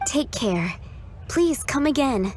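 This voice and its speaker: A woman voicing a kind young female waitress who speaks sincerely and gently explains, in a game cutscene. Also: sits high, big pitch swings, slow pace, crowd noise, full character voice. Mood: earnest.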